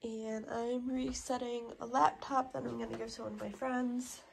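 A young woman chats to her phone camera at home, giving upbeat updates on her day. She is getting over a cold, and her slightly high voice has a gravelly, fry-laden edge. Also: flat delivery, normal speed, slight background noise. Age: young adult. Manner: chatty, upbeat morning updates. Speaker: family vlogger. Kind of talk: casual vlog to camera. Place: home living room, indoors. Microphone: phone held at arm's length.

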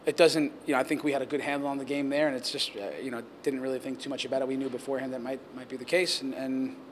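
A man in his forties, a head coach answering post-game questions at reporters' handheld mics, talking in a measured, earnest way with many restarts. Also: medium pitch, little pitch movement, a little hiss or hum. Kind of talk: post-game press interview. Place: press backdrop area, indoors. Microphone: handheld reporter mics held close.

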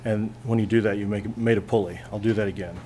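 Male teacher, low voice, relaxed step-by-step demo, faint room hum. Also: quick pace. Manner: relaxed, plainspoken, thinking aloud with restarts. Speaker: physics teacher. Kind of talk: hands-on classroom demonstration. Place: classroom lab bench, indoors.

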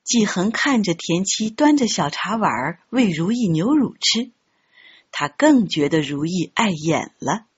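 Expressive woman narrator, slightly husky. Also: fairly low pitch, measured pace, dull audio. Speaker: audiobook narrator. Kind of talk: expressive scripted storytelling narration. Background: background music.

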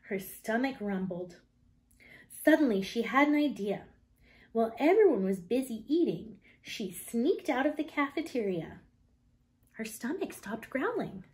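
A woman in her thirties reading a children's picture book aloud in an expressive, deliberate manner. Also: fairly high pitch.

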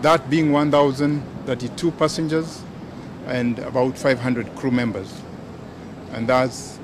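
A Kenyan-accented man speaks for the port authority into a cluster of press microphones outdoors. He talks in a measured way at a mid pitch, with clear port noise around him. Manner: measured, with frequent fillers. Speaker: port official. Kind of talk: press statement. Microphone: bunch of handheld press mics.

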